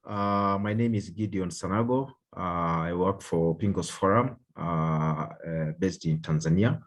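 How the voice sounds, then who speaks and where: A man introducing himself on a video call, slow and hesitant with many pauses. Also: deep voice.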